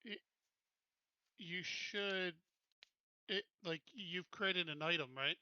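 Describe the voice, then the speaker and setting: A man's voice: high-pitched, takes it slowly, pushed and bright, smooth, dull audio. A man in an online game group casually explaining technical settings step by step.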